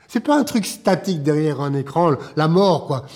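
An actor playing a bitter, despairing man of the jazz club world in a drama, husky, sarcastic and theatrical. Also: fairly high pitch, animated, darkish tone. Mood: exasperated, passionate. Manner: sarcastic, theatrical, halting bursts. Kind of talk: naturalistic drama dialogue.

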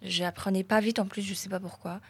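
A young woman who is not a native French speaker, chatting casually in simple, halting phrases. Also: mid-range voice, fairly even intonation, slightly rough. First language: non-French mother tongue. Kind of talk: casual conversation.

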